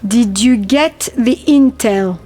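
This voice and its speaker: A woman playing a brisk, businesslike Resistance fighter in a video game cutscene. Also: fairly high pitch, dark tone, faint music behind. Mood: brisk, practical.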